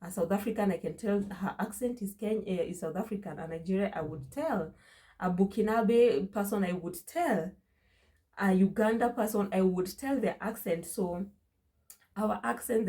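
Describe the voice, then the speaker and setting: A woman's voice: mid-range voice, mellow. A young woman with a Kenyan accent, talking to her vlog audience in an emphatic way and repeating phrases for stress. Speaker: vlogger. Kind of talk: casual opinion vlog.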